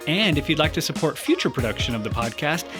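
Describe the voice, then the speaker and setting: A man's voice: fairly high pitch, sing-song, fast. A man announcing a podcast in scripted narration, reading credits and sign-off over music.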